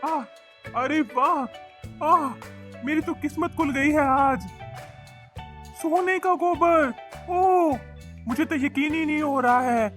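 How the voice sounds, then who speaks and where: A man's voice: high-pitched, moderate pace, fairly bright tone. An eager, wide-eyed cartoon fisherman bubbles over with exclamations as a man acts him out. He is a simple husband with gold on his mind, gasping between bursts. Music plays behind him. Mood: amazed, eager. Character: excitable, greedy but kindly fisherman husband. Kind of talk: animated cartoon voice acting.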